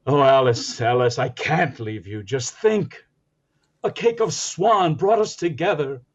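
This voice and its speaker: Dull sounding recording, guy doing an eager 40s radio comedy announcer playing posh husband, highish voice, super melodramatic. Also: measured pace. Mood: melodramatic pleading. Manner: melodramatic, grandly enunciated. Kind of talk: 1940s radio comedy acting.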